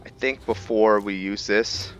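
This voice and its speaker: A man giving casual commentary on a roleplay video game, halting as he thinks aloud and explains the lore. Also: lowish voice, steady tone, dull audio, a little hiss or hum. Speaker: gamer narrating a roleplay playthrough.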